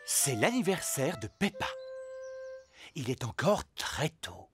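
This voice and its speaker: A man's voice: medium pitch, sing-song, takes it slowly. A man narrating a children's cartoon as a scripted storyteller. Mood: storytelling. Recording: loud music behind the voice.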